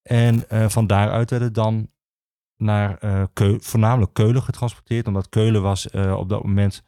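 Guy with a lowish soft-edged voice explaining stuff, halting, lots of pauses, dry close sound. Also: little pitch movement, dry recording. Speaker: regional archivist, podcast guest. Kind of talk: explanatory interview talk.

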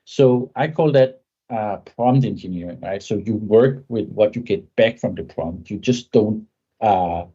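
A man's voice: on the low side, measured pace, mellow, breathy edge, muffled recording. A man, a podcast guest speaking thoughtfully and often ending points with a tag question. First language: Danish. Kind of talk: conversational tech interview.

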